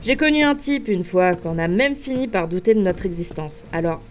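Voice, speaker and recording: A woman playing a brisk, dismissive veteran time-police agent in a French audio drama. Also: medium pitch, lively intonation, close to an everyday voice. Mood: bossy, dismissive. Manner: bossy, dismissive, mocking. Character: brisk, seasoned female time-police agent bossing a rookie. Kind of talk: French audio fiction acting.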